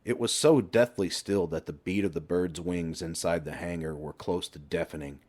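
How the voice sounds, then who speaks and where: A man's voice: low-pitched, moderate pace, darkish tone. A man reading a horror novel aloud as scripted audiobook narration.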